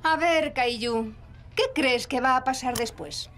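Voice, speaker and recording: A woman's voice: sits high, unhurried, a little hiss or hum. A woman with a Castilian Spanish accent playing a cheerful, patient preschool teacher in a children's cartoon dub. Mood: explaining, encouraging. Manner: animated, patient, explaining to small children. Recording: music behind the voice.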